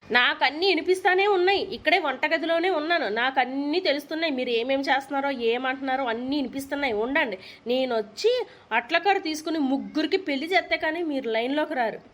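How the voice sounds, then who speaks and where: Woman doing cartoon telugu mom, high voice, rattles off scolding super fast, fed up and sarcastic. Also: fairly bright tone. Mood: threatening. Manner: nagging, sarcastic, threatening. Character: exasperated, scolding young mother of two naughty children. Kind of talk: comedy cartoon voice acting.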